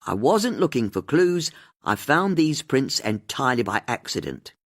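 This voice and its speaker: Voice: medium pitch, very animated, moderate pace. An audiobook narrator voicing a cheeky, blunt woman amateur sleuth who blurts things out informally. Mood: proud. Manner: blurting, informal, unconvincing when fibbing.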